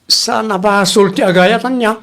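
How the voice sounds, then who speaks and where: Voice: medium pitch, steady tone, moderate pace, fairly bright tone, close to an everyday voice. An actor playing a teasing grown child bantering with their mother in a comedy radio drama. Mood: amused, teasing.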